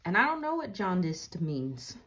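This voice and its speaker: A woman's voice: on the low side, very animated, moderate pace, fairly bright tone. A woman reading a storybook aloud and casually explaining it in simple words for children.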